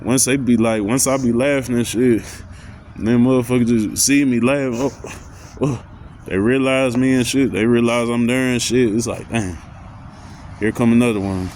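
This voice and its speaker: Man, deep voice, casual vlog ramble full of slang, mid pitch, light traffic hum behind. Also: steady tone, normal speed, a little mellow. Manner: loose, rambling, heavy slang and fillers. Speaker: vlogger. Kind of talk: casual motivational talk.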